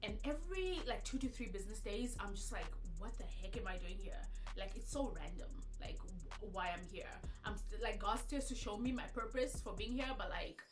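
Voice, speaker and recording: A woman's voice: mid-range voice, lively intonation, moderate pace, few pauses. A young woman from Zimbabwe answering questions in a casual vlog, talking candidly and filling her sentences with "like". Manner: chatty, candid, lots of filler "like". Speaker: vlogger. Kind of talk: casual get-to-know-me Q&A. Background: background music.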